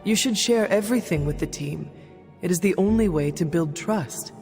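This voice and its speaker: A woman's voice: fairly low pitch, moderate pace, a little mellow, natural voice. A woman playing a solemn, earnest warrior in a video game, speaking formally and literally. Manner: formal, literal, few contractions. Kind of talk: video game cutscene acting. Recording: long reverb, music behind.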